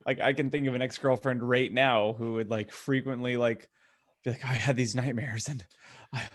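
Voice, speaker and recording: A man in a casual podcast chat, halting as he thinks aloud with lots of filler words. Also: mid-range voice, steady tone.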